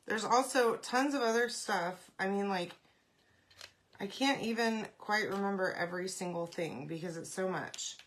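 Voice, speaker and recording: A woman hosting a casual craft live stream, congested and often clearing her throat, chatting and greeting viewers by name. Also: mid-range voice, normal speed, fairly bright tone.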